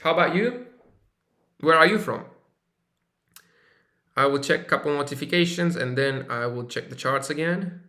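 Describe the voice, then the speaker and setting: A man's voice: a little high, normal speed, long pauses, sharp tone, roomy sound. A man from Romania hosting a live trading stream, chatting casually with viewers and thinking aloud with fillers and restarts. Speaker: live-stream trader host.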